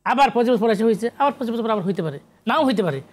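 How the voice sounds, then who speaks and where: A man's voice: very high voice, lively intonation, very fast. A middle-aged man with a Bangladeshi accent, an academic guest on a talk show, explaining at length and emphatically, repeating phrases and dropping in English terms. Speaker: guest, academic sociologist and literary researcher.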